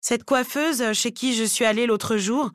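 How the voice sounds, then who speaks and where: A woman narrator performs an indignant female salon customer from a novel. She is chatty and dramatic, with a raised pitch, in a dry close recording. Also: unhurried, no echo, close to an everyday voice. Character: outraged woman salon customer recounting a grievance.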